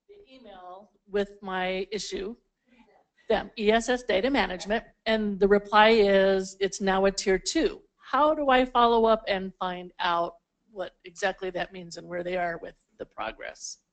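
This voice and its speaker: A woman's voice: mid-range voice, measured pace, low-quality recording. A woman in a meeting audience asking a question, explaining her problem step by step. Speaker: audience member.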